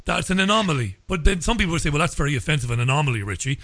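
Irish male interviewer, slightly high voice, halting and casual. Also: animated, a bit bright. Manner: hesitant, many ums.

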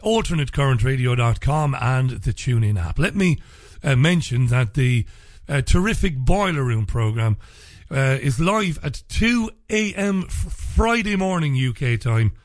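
A man hosting a talk radio show, speaking casually with a slightly croaky, dry voice and frequent fillers and restarts. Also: mid-range voice, a little mellow, no room sound.

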